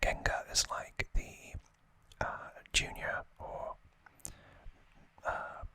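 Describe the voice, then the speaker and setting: A man whispers in an unscripted ASMR style, slow and hesitant. His breathy voice stays even and hushed, with a crisp, sibilant brightness. He pauses to think as he explains what he is showing. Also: no room sound. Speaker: ASMR video host.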